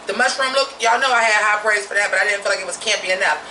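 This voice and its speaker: A woman with a naturally deep voice giving a blunt, profane, opinionated drag review in a casual vlog. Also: fast, sharp tone, roomy sound, recorded from a distance, a little hiss or hum, low-quality recording.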